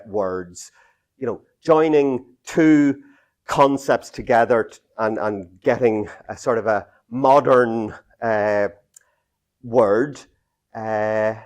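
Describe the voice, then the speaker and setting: A middle-aged Irish man speaks slowly to a small audience, pausing often and circling back with fillers and restarts. His voice sits at an ordinary middle pitch. He talks in a small indoor meeting room, picked up by a camera set some way off. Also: darkish tone. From Ireland. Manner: halting, many fillers and restarts, gestures a lot while talking. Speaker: speaker giving a talk. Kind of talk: unscripted public talk.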